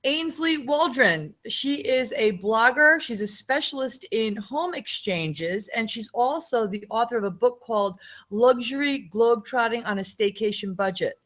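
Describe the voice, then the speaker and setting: A woman's voice: on the high side, animated, a little slow, hardly any pauses, fairly bright tone. A woman hosting an interview, upbeat, asking short questions and giving brief affirmations. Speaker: host.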